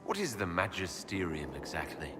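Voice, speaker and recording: An actor playing an earnest, questioning elf leader in a video game, speaking in a measured, direct way. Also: male voice, low-pitched, big pitch swings, over music. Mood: curious. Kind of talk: video game dialogue acting. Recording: reverberant hall, faint background noise.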